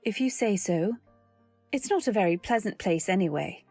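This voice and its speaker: A woman's voice: medium pitch, expressive, moderate pace, no room sound, soft background music. Earnest woman narrating a radio drama. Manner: earnest first-person storytelling, anxious and gentle. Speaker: narrator and actor. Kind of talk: 1940s radio acting.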